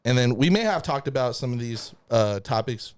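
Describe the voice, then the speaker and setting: A man hosting a podcast interview, speaking slowly and hesitantly with many fillers. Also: medium pitch, animated, clean tone, no room sound. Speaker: podcast host.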